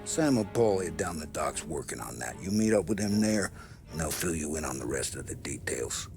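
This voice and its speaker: A wry, streetwise mob fixer in a crime game. He sounds assured and sardonic, with lively swings of pitch, over clear background music. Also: a little fast, full character voice. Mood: low, assured. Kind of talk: dramatic video game cutscene acting.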